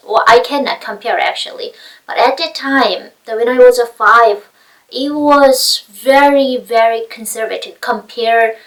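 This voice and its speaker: A woman from North Korea with a Korean accent, giving an unscripted personal talk in halting non-native English, searching for words. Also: mid-range voice, a bit bright. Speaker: speaker telling their personal story. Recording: voice picked up far from mic.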